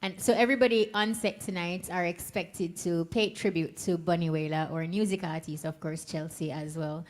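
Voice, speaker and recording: A woman's voice: fairly low pitch, steady tone, normal speed, few pauses. A woman in her thirties co-hosting a radio show in a studio, reading sponsor plugs and introducing guests in a composed presenter delivery on a handheld mic.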